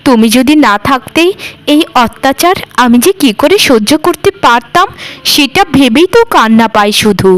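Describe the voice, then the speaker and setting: A woman's voice: high voice, expressive, normal speed. A woman voicing a weary, aggrieved village sister-in-law in a melodramatic cartoon, complaining plaintively. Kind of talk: cartoon voice acting, melodramatic dialogue.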